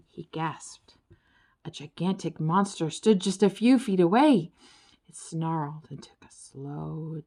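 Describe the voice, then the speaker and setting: A woman narrating a children's storybook in an expressive, dramatic storytelling way. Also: fairly low pitch. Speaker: audiobook narrator.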